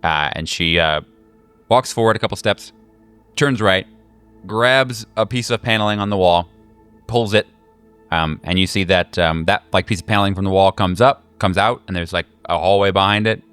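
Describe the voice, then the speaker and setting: A man's voice: deep voice, normal speed, fairly bright tone, smooth, faint music behind. A man running a tabletop game as game master, narrating casually in an informal, jokey, sweary way.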